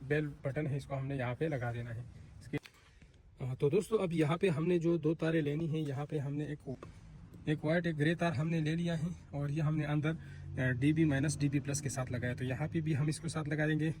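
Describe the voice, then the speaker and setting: A man's voice: fairly high pitch, steady tone, normal speed, a little mellow. A man giving an informal hands-on tutorial as he works, explaining step by step and repeating himself. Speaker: installer presenting a tutorial. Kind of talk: hands-on tutorial narration while working. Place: on an installation site. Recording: clear background noise on location.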